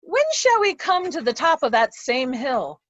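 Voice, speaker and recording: A woman's voice: sits high, big pitch swings, a little slow, dry recording, lo-fi sound, heavily acted. A woman playing a blind, despairing old nobleman in an amateur Shakespeare reading, weary and solemn. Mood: doubtful, weary. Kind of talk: amateur Shakespeare reader's theatre.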